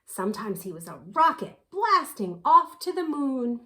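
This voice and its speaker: Slow, animated woman reading a picture book. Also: high voice. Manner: animated, unhurried, dramatic emphasis. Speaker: children's story reader. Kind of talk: expressive picture-book read-aloud.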